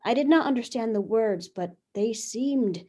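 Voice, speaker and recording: A woman's voice: a little high, lively intonation, unhurried, warm tone. A woman playing Eve, a newly made, curious and talkative first woman, reading her diary in a wondering, chatty way over a video call. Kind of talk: stage reading of a comic play.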